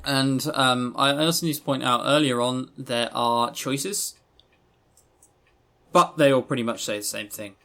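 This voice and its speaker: A man's voice: mid-range voice, measured pace, sharp tone. Wry man chatting as podcast co-host. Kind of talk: casual unscripted podcast chat about games.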